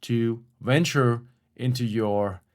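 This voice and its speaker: A man's voice: mid-range voice, fairly even intonation, takes it slowly. Reflective German-accented man. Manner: reflective, frank, with fillers and restatements. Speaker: academic writing coach. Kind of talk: casual explanatory talk.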